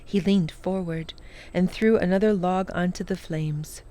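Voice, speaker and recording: A woman's voice: on the low side, a little slow, mellow. A woman narrating a children's storybook as a library storytime reader.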